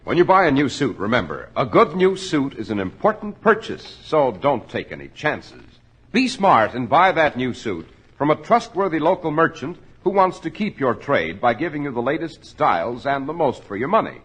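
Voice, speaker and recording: A man's voice: medium pitch, sing-song, normal speed, fairly bright tone, husky. A man as announcer reading a 1940s radio commercial in an emphatic, salesmanlike way. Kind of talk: 1940s radio advertising read.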